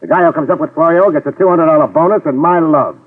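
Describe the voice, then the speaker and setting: An actor playing a wisecracking New York private detective who narrates a 1950s radio drama. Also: male voice, a little high, fast talker, distant mic, heavy background noise, lightly acted. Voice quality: dry, wisecracking. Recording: tinny old radio broadcast with music.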